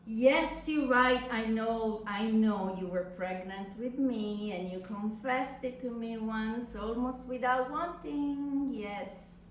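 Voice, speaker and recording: Echoey, high-voiced woman acting an anguished daughter. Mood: pained, reproachful. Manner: impassioned, deliberate, theatrical delivery with long pauses. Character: anguished young adult daughter addressing her suffering mother. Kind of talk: dramatic literary monologue reading on stage. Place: reverberant indoor venue at a live reading. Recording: echoey room, distant mic, faint noise.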